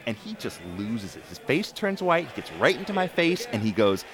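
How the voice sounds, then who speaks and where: A man's voice: medium pitch, sing-song, normal speed. A man playing a blunt, chatty soccer supporter in a casual audio drama. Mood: indignant storytelling. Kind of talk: casual, naturalistic drama dialogue. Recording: busy crowd and traffic noise.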